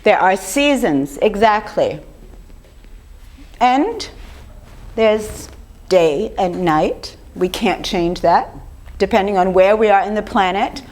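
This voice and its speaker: An older woman with a slightly rough voice gives an informal lecture, talking conversationally and putting questions to her audience. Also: mid-range voice, lively intonation, takes it slowly, long pauses, darkish tone. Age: 50s or older. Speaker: speaker giving a lecture on raw food.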